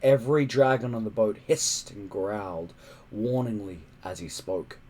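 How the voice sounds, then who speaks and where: A man reading a children's adventure story aloud in a dramatic, suspenseful way, with emphatic pauses. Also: on the low side, darkish tone. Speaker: storybook reader.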